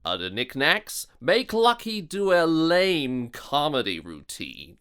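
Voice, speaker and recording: A man's voice: fairly high pitch, huge pitch swings, slow, fairly bright tone, a little hiss or hum. A man hosting a scripted video review in a theatrical, mocking manner. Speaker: host of a video review series.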